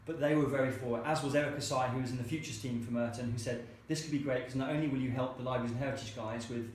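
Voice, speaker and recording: A man's voice: mid-range voice, fairly even intonation, racing. A man, founder of a co-working space, telling stories in an interview in a chatty way and acting out the lines of the people he quotes. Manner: chatty, acts out quoted dialogue. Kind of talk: anecdotal storytelling interview. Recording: very echoey room with faint hiss.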